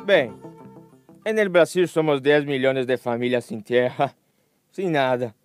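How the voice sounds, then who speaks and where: A man playing a weary landless peasant, testifying plainly and haltingly in a radio drama. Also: medium pitch, clear, resonant tone, faint music behind, close to an everyday voice. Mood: aggrieved.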